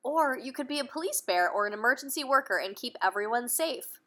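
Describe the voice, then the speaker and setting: A woman's voice: on the high side, expressive, brisk, pushed and bright. A woman voicing a friendly male bear professor in a storybook, eager, encouraging and full of explanations.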